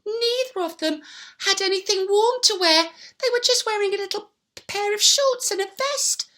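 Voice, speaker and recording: Storybook old wife voiced by a woman, high and thoughtful, kindly, pressing her points eagerly. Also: moderate pace, fairly bright tone. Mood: concerned.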